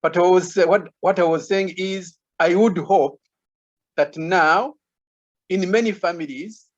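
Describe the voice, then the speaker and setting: Man, panelist, slow halting delivery, slightly high pitch, muffled call sound. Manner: slow, careful, halting explanation with many restarts. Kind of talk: online panel discussion.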